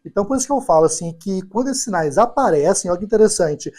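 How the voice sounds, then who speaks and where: Young male psychologist guest, warm mellow tone, talkative storyteller. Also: high voice, expressive, fast talker. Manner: affirming, storytelling, frequent "né" and "perfeito". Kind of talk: podcast interview chat.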